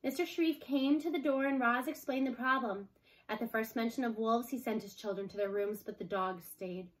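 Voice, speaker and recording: A woman reading a children's book aloud as an expressive scripted narration. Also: on the high side, fairly quick, rough recording. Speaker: storybook reader.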